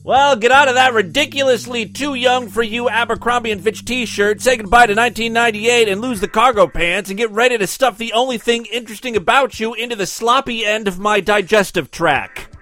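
A man's voice: high-pitched, animated, normal speed, bright, forceful tone, voice pushed up. A man voicing a brash, scornful, domineering woman who barks tart, impatient commands in a comic story reading. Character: brash, scornful, domineering middle-aged woman, sexually aggressive.

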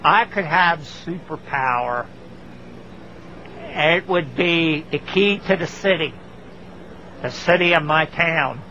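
A man speaking in a video personal ad, halting and hesitant, with long pauses between words. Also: a little high, sharp tone, slight background noise. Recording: dull lo-fi archive video clip.

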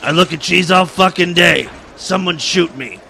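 A man voicing a grumpy, foul-mouthed old factory worker with a gruff, weary manner. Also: sits high, normal speed, pushed and bright, a little hiss or hum, exaggerated voice. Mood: bitter. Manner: gruff, weary muttering and barking.

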